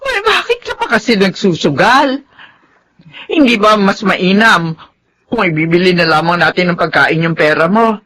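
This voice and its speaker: A woman's voice: fairly low pitch, sing-song, normal speed, a bit bright. Meek, pleading old grandmother. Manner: pleading, patient. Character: meek, devout elderly grandmother and long-suffering wife. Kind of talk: Tagalog radio-style melodrama acting.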